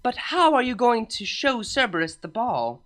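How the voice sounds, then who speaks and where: A woman's voice: fairly high pitch, big pitch swings, normal speed, faint music behind, voice pushed up. A woman voicing a proud, determined elder female pony princess who speaks earnestly.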